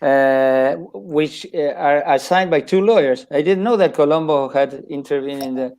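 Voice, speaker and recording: An older man from Argentina, talking as a guest author on a video call, halting and restarting often with small chuckles. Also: a little high, a little mellow. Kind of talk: casual chat on a video call.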